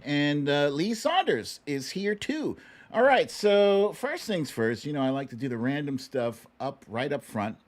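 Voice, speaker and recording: Male livestream host, playful and sing-song, highly varied pitch, unhurried pace, informal chat. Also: big pitch swings. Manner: playful, exclamatory, sing-song with silly catchphrases. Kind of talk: casual livestream chat, greeting viewers.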